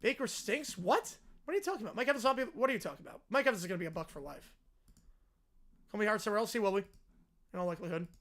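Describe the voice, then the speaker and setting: A man hosting a live sports reaction stream, chatting casually and answering viewers, his voice hoarse and worn out. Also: sits high, lively intonation, racing, a bit bright. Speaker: livestream host.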